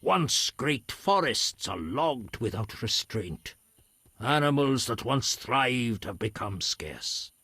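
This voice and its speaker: A man playing a serene, courteous druid in a video game, gentle, formal and unhurried. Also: mid-range voice, very animated, breathy edge, dry recording, clean studio sound, full character voice. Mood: grave, regretful. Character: serene, courteous male druid elder, lover of nature. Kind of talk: video game dialogue acting.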